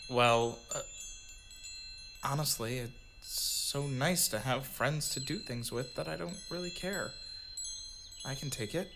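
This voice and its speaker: A man playing a soft, eager teenage boy in an audio drama, with a mild, hesitant, conciliatory way of talking. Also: medium pitch, slow, creaky, long pauses, noisy background. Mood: hesitant, placating. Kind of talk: audio drama acting and narration.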